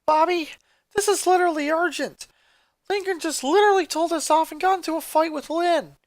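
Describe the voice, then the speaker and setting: A woman's voice: extremely high pitch, fairly quick, no echo, pitched above the performer's own voice. A woman voicing an anxious, apologetic teenage girl in a story reading. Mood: urgent, apologetic. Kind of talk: reading a text message in character.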